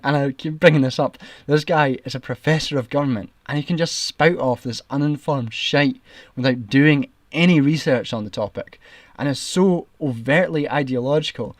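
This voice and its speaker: A young Scottish man rants on a livestream, indignant and sarcastic as he argues his point. His voice sits at an ordinary middle pitch and has started to turn raspy. The sound is dry and close, with no room around it. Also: no room sound. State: voice getting raspy. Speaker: streamer. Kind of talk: ranting livestream commentary.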